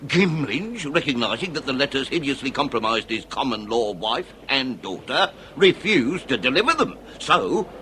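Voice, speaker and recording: An actor playing a grave, implacable but just police inspector in a radio drama, with a British accent and formal, deliberate, theatrical speech. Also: male voice, medium pitch, big pitch swings, pushed and bright, a little hiss or hum, poor audio. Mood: explaining, probing.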